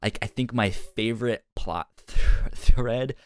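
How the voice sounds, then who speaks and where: A man's voice: mid-range voice, unhurried, dry recording. A man hosting a review podcast in casual conversation, stammering and often repeating sounds and words as he goes. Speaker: podcast host.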